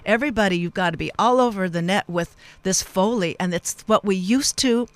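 A woman hosting a live radio interview in a studio, chatty and halting, often drifting off on asides. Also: medium pitch. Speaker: radio show host. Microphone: studio microphone.

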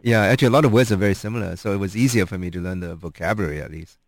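A man's voice: sits low, very fast. A man interviewing a guest in casual chat, thoughtful and hesitant, with many ums.

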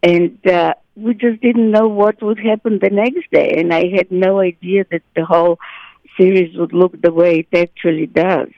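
Actress lady being interviewed, gravelly edge, dry dull-ish sound, keeps restarting sentences. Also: on the low side, normal speed, dry recording. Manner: animated, restarts phrases often. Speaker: actress, guest. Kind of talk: interview chat.

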